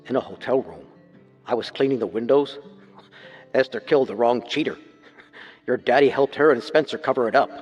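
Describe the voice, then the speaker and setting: An actor playing a creepy, smug imprisoned killer who reminisces with sinister relish in a true-crime audio drama. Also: male voice, medium pitch, big-room sound, natural voice. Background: music.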